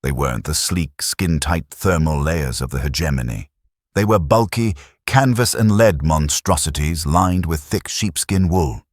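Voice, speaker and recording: A man's voice: very deep voice, lively intonation, unhurried, no echo, studio quality. A man narrating a science fiction audiobook with dramatic storytelling delivery. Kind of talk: scripted sci-fi narration.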